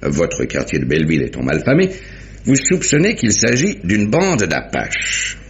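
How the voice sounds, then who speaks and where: An actor playing a genial, methodical police inspector, recapping deliberately in a theatrical mid-century French radio mystery manner. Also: male voice, fairly low pitch, huge pitch swings. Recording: dull vintage radio drama recording.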